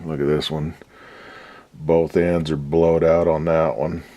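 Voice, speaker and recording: Very deep-voiced, slow-talking man at a workbench. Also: flat delivery, warm tone. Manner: rambling, profane, folksy. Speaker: radio restorer. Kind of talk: casual workshop commentary.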